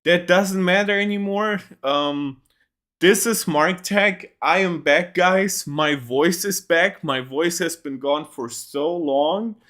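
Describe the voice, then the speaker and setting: A man's voice: high-pitched, slow, a bit bright. A young man hosting a casual tech reaction livestream from a home setup on a desk mic, rambling with many ums and restarts.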